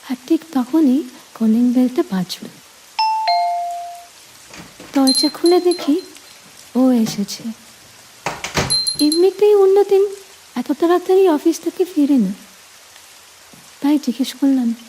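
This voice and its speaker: Slow, mellow woman narrating. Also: high voice, slight background noise, low-quality recording. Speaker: storyteller. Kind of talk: scripted first-person romantic story narration.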